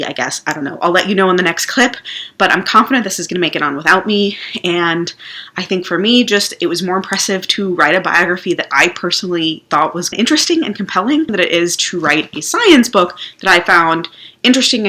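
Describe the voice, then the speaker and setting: A woman's voice: on the low side, bright, forceful tone. A woman giving a casual, chatty vlog review of the books she has read, thinking aloud as she goes. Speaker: book reviewer.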